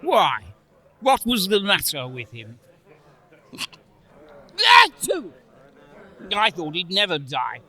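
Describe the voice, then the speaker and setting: A man acts a blustering businessman of a radio play, high-pitched and very sharp-toned. He speaks slowly, with callous, jocular theatricality. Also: sing-song, full character voice. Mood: brash curiosity. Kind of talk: stage radio play acting.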